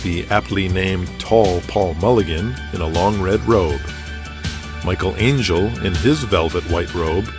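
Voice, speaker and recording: A man's voice: deep voice, unhurried. A man narrating a scripted audio drama as its storyteller. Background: music.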